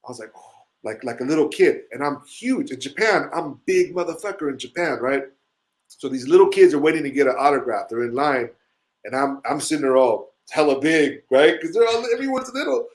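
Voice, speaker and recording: A middle-aged man from Chile telling rambling, profanity-laced stories in a casual chat, animated, with frequent asides. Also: on the high side, a little fast. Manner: rambling, swearing, animated storyteller. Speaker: musician telling stories on a show.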